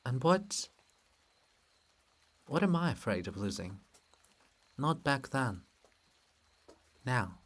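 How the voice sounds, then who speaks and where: A man's voice: medium pitch, very animated, takes it slowly, long pauses, breathy edge, heavily acted. A man voicing a proud, insistent princess in a dramatic reading, imploring and solemn. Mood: pleading. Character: proud, pleading princess, insistent and braced for pain.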